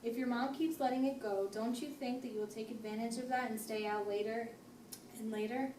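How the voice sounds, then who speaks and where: A woman playing a patient, firm family therapist, speaking in a measured, formal way in an amateur role-play. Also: on the high side, fairly even intonation, dark tone, close to an everyday voice. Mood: firm, reasoning. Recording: noisy echoey room, distant mic.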